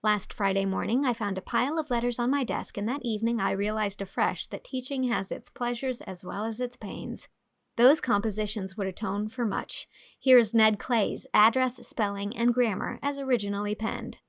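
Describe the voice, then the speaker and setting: An actress playing an amused, articulate young schoolteacher narrating a letter to a friend in an audio drama. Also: female voice, mid-range voice, moderate pace, natural voice. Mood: fond, amused.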